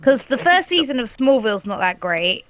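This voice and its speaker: A woman's voice: on the high side, sing-song, normal speed, fairly bright tone. A woman with a cold, chatting as a podcast guest, gushing about a TV show with emphatic, exaggerated talk. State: sniffly from a cold. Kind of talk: casual chat. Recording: dull lo-fi video call audio.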